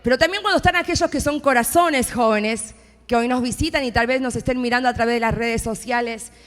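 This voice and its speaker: A woman in her forties from Argentina with an Argentine accent, preaching a personal testimony as a pastor's wife in church. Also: high voice. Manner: personal storytelling, rising to emphatic declarations. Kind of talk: sermon testimony. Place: church sanctuary. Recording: long hall reverb with faint music.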